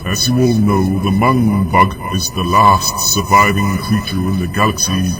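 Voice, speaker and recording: A man's voice: deep voice, steady tone, measured pace, background noise. A man playing a pompous, villainous university chancellor giving an oratorical broadcast address in a science fiction audio drama. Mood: imperious. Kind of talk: formal speech in sci-fi audio drama.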